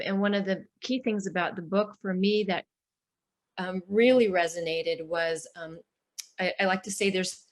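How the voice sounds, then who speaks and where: Slow-paced woman reading and talking. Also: mid-range voice. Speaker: children's book author. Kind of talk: picture-book read-aloud and conversation.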